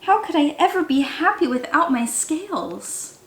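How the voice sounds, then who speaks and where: A woman's voice: sits high, big pitch swings, normal speed, pitched above the performer's own voice. A woman voicing a proud, pouty young male fish in a children's storybook, with dramatic delivery. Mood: indignant. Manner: dramatic, exclaiming. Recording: roomy, echoey sound.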